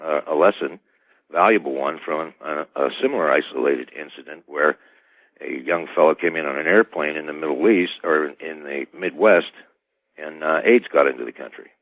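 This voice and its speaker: A man speaking as a guest in a radio interview, choosing his words carefully and formally, with hesitant, measured phrasing. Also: rumbling low, no echo. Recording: dull band-limited radio line.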